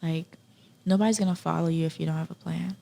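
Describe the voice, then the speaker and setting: A woman's voice: lowish voice, steady tone, fast, vocal fry, dark tone. A woman from Haiti speaking casually and earnestly as an interview guest, repeating key phrases for emphasis. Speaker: podcast guest.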